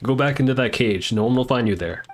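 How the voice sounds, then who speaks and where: A man's voice: medium pitch, fast. A man giving casual live commentary on a game, laid-back, wry and joking. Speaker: game streamer.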